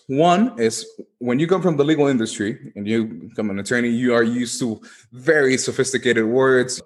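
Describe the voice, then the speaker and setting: A man from Guatemala with a Guatemalan Spanish accent, explaining earnestly in an interview and often padding phrases as he goes. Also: mid-range voice. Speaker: podcast guest, legal tech executive.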